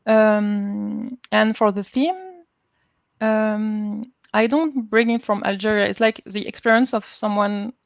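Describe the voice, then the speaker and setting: A woman with a French accent talking informally as a panel guest, haltingly searching for words. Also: mid-range voice, flat delivery, vocal fry, no echo, lo-fi sound. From France. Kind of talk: informal live panel talk.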